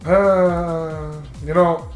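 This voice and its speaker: A man's voice: on the high side, fairly even intonation, drawn-out words. A man co-hosting a gaming show with casual, crude, mock-exasperated comedic commentary. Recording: roomy echo, faint music behind.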